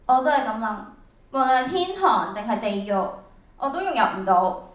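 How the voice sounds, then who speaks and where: An echoing, off-mic young angel , played by a teenage girl in a radio drama. The high voice is idealistic and frustrated, declaiming each thought with earnest drama. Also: big pitch swings, moderate pace, far from the mic. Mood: weary. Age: teens. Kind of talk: stage radio drama acting. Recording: echoey hall, off-mic.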